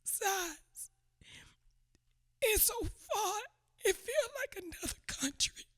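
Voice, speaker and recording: A woman's voice: extremely high pitch, lively intonation, slow pace, fairly bright tone, full character voice. An actress playing a newly widowed young mother, pleading and distraught in a radio theatre play. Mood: anguished. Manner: pleading, distraught, speaks in broken dialect. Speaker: actor.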